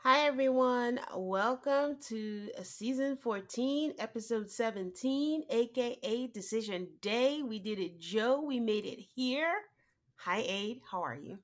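A woman co-hosting a podcast, nasal and congested from a cold, chatting casually with quick asides and laughs. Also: on the high side, expressive. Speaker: podcast co-host. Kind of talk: casual chat.

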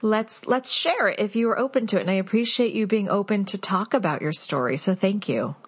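A woman hosting an interview and teaching yoga, encouraging and quick to laugh. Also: mid-range voice, slightly rough. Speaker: podcast host, yoga teacher. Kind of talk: casual interview.

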